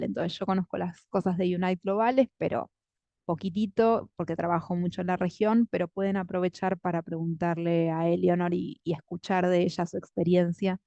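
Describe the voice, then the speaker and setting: A woman's voice: on the low side, dark tone, no echo. A woman coordinator introducing a guest speaker in an online class, friendly and chatty with many fillers. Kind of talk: spoken introduction in a video class. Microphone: video call. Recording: dull lo-fi call audio.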